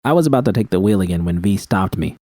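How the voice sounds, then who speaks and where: A man's voice: low-pitched, animated, quick pace, mellow, no echo, clean studio sound. A man reading scripted first-person fiction as a narrator.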